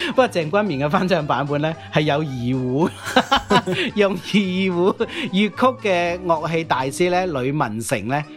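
Middle-aged male Cantonese radio DJ, higher-pitched and very animated, conversational, drops in English words. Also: unhurried, hardly any pauses. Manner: animated, chuckling, peppers talk with English words. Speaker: veteran radio DJ and podcast co-host. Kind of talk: casual chat about old pop music. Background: music behind the voice.

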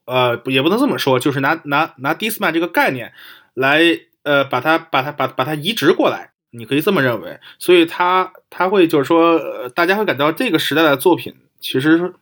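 A man's voice: on the high side, animated, moderate pace, fairly bright tone. A man co-hosting a casual discussion, repeating and rephrasing himself as he thinks aloud. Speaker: podcast co-host.

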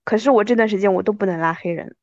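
A woman's voice: mid-range voice, very fast, a little mellow, dry recording, lo-fi sound. A woman in her thirties hosting a casual chat with an old friend, with a wry, self-mocking manner. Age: 30s. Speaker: podcast host.

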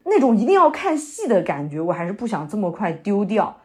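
A woman's voice: medium pitch, huge pitch swings, fairly quick. A woman acting a young, sentimental, theatre-loving new graduate in a naturalistic audio drama, speaking in a hesitant, conversational way. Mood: wistful. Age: young adult. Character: young woman fresh out of university, wandering, sentimental theatre lover.

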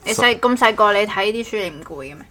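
A woman's voice: medium pitch, expressive, fast talker, fairly bright tone. A woman with a Cantonese accent hosting a casual chat podcast, bantering in a teasing, playful way. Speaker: host of a daily chat podcast.

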